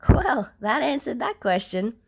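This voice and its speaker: A woman voicing a bright, friendly, parental grown man in a story, with an upbeat, kindly manner. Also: fairly high pitch, sing-song, measured pace, creaky, voice pushed up, full character voice. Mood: amused.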